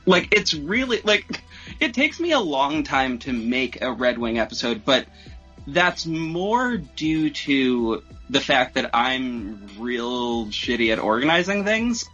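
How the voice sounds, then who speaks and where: A man's voice: fairly high pitch, big pitch swings, slow, a bit bright, muffled recording, soft background music. A man chatting casually as a podcast guest who makes audio drama, rambling in an informal, self-deprecating way. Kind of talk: casual chat.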